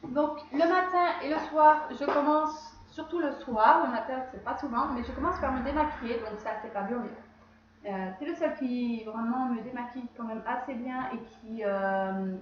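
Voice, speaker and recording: Woman, stuffy from a cold, rambling vlog chat; high voice, slightly dull sound. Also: moderate pace, heavy reverb, slight background noise. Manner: chatty, rambling, often corrects herself. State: has a cold. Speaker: beauty vlogger. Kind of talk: casual skincare routine chat.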